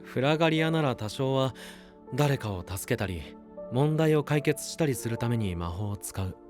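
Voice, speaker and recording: An actor playing a kind, easygoing young knight in an anime voice drama, talking in soft, casual, friendly phrases. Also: male voice, mid-range voice, lively intonation, normal speed, close to an everyday voice. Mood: gentle, reassuring. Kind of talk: Japanese anime voice drama acting. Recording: clear music under the voice.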